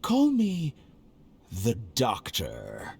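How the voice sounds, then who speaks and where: A man playing a calm, sadistic scientist villain in a video game, smugly polite, measured and taunting. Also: mid-range voice, creaky, slightly rough, heavily acted. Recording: music behind the voice.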